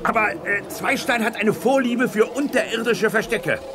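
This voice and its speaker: An actor playing a grumbling, sceptical army general in a sci-fi radio drama, flustered and blustering. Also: male voice, sits high, normal speed, lightly acted. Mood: wary. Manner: blustering, exasperated. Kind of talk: 1980s German radio drama acting.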